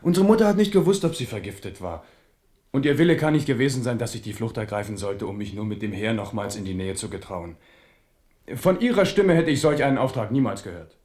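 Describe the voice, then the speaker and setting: Man playing a proud young king, animated intonation. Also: mid-range voice, brisk, natural voice. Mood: troubled disbelief. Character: young bridegroom king, earnest, proud and dryly defiant. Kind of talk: 1970s radio play acting. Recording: echoey radio drama room.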